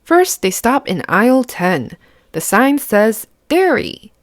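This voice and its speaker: A woman's voice: fairly high pitch, big pitch swings, slow pace, no room sound, clean studio sound. A woman reading a picture book aloud to children, explaining simply and putting questions to the listener. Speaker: children's read-aloud host.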